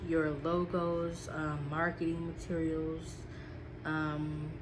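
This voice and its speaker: Stuffy-nosed woman, low voice. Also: completely flat delivery, takes it slowly, background noise. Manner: chatty, with frequent "um" and repeats. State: stuffy, sniffling. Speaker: small business vlogger. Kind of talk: casual talk from notes.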